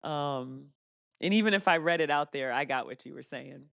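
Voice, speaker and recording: A woman with a fairly deep voice hosting a casual live stream Q&A. She laughs often and reads viewer comments aloud. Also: normal speed, creaky, a bit bright, clear, resonant tone, no room sound. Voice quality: deeper voice for a woman.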